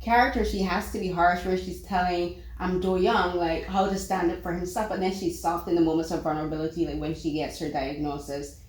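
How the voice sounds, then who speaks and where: A woman from Barbados interviewing filmmakers, chatty and animated, with frequent fillers. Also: lowish voice, fairly quick. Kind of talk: casual interview. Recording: echoey room.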